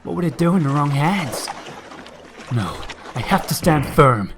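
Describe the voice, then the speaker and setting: A man voicing an earnest, hunted hero in an animated fan dub, talking to himself anxiously and dramatically. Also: fairly high pitch, measured pace, over music. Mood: anxious, resolute. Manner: anxious, dramatic self-talk. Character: desperate, earnest hunted hero wrestling with his duty.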